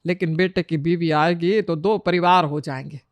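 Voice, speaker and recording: A woman's voice: on the low side, steady tone, normal speed, no room sound, close to an everyday voice. A woman voicing a fussy, worried middle-aged Indian mother-in-law in a story, with emphatic, chiding delivery. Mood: exasperated.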